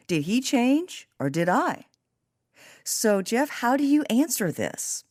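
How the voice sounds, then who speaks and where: A woman's voice: on the high side, big pitch swings, takes it slowly, a little mellow. A woman hosting a podcast with scripted, reflective commentary in an earnest, personal, devotional manner. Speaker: podcast host.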